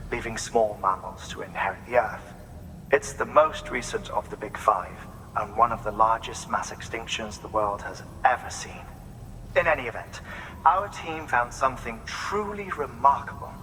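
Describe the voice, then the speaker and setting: An actor playing an eloquent, eager scientist in a video game, lecturing in a precise, theatrical way. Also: male voice, mid-range voice, bright, forceful tone, a little hiss or hum, lightly acted, low-quality recording. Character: eloquent, eager male scientist giving orders. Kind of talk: video game cutscene acting, dramatic exposition.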